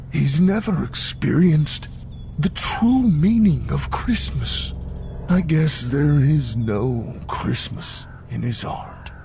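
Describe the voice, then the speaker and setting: A man voicing a gigantic, gentle abominable snowman in an audio storybook, solemn and gravelly, with a halting, drawn-out delivery. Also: medium pitch, slight background noise, full character voice. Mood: sorrowful. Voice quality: gravelly, creaky.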